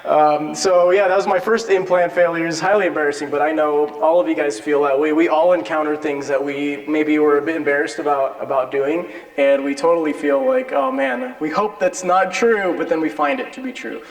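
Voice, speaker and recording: A man's voice: fairly high pitch, fairly even intonation, fairly quick, hardly any pauses. A man with an American accent giving an informal, conversational, self-deprecating lecture with personal anecdotes. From born in Peru, grew up in the United States. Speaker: lecturer, dental implant surgeon. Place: indoor lecture hall with an audience. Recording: echoey hall with long reverb.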